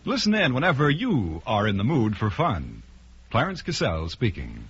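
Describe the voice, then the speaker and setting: Vintage network announcer: American male, medium pitch, animated inflection, formal polish, muffled tape with light music. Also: normal speed, very noisy. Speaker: radio announcer. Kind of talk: 1950s radio announcing, scripted. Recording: muffled vintage radio recording, faint music.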